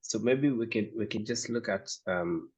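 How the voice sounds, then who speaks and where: Deep, husky man asking halting questions. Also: darkish tone. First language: Swahili. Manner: halting, searching for words, many restarts. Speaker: interviewer, host. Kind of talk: casual interview questions. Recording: slightly dull lo-fi sound.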